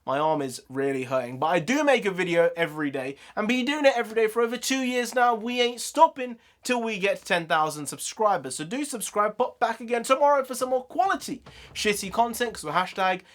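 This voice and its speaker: Hoarse chatty male vlogger. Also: sits high, fairly quick. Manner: chatty, ranting, animated sign-off. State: voice going hoarse. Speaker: YouTube vlogger. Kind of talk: casual opinion vlog.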